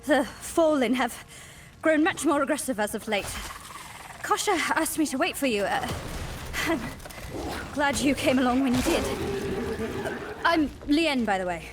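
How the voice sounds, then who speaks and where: Game NPC: shaken young village woman, higher voice, hesitant, noisy background. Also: animated, a little slow, pauses often, far from the mic. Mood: grateful, relieved. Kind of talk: fantasy game voice acting.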